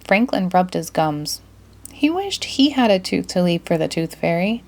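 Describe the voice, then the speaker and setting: Animated woman reading a storybook. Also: lowish voice, normal speed. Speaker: storyteller reading a picture book aloud. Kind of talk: expressive children's storybook narration.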